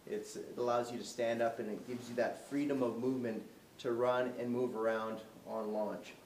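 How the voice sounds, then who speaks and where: A man's voice: medium pitch, normal speed. Man demonstrating gear in a roomy showroom. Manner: relaxed, unscripted, many fillers and restarts; moving around while demonstrating. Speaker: product demonstrator. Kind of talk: casual product walkthrough. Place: indoor showroom, medium-sized room. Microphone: camera mic from a distance. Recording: roomy echo, distant camera mic, faint hiss.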